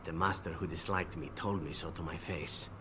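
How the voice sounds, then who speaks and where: A weary elven assassin from a fantasy video game, acted by a man, speaks in a low voice. He is measured and solemn, confessional and bitter with guilt. Clear background ambience sits behind the words. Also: steady tone, background noise, lightly acted. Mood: regretful. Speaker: game companion character. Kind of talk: dramatic video game voice acting.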